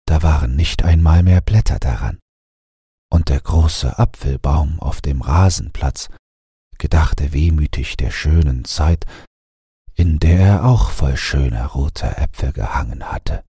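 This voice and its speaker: A man reading a bedtime story as an audiobook narrator, in a gentle, measured manner. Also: extremely low pitch, husky, no echo, studio quality.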